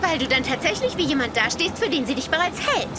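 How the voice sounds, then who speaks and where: A woman's voice: high voice, very animated, fast. An actress playing a blunt, competitive, sarcastic young woman in a German sitcom audio play, snappy and mocking. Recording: noisy, with clear background ambience.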